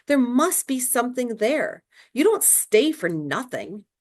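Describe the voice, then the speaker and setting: A woman's voice: fairly high pitch, big pitch swings, measured pace, a little mellow, voice pushed up. A woman reading a feverish, troubled fireman with a pleading, agitated delivery. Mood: anguished.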